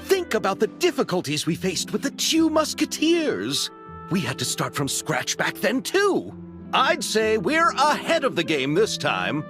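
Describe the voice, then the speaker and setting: A man's voice: high voice, huge pitch swings, measured pace, heavily acted. A man playing a romantic, earnest film director in a video game, theatrical and effusive. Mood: eager. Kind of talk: animated video game voice acting. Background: music.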